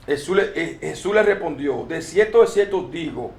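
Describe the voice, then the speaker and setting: Halting man reading scripture, echoey room. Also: fairly high pitch, lively intonation. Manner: reads verses haltingly, repeats words. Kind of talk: informal Bible discussion.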